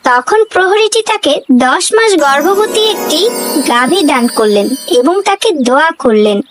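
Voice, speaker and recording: A woman's voice: sits high, lively intonation, moderate pace, music in the background. A woman narrating a children's cartoon fable in a scripted storybook style.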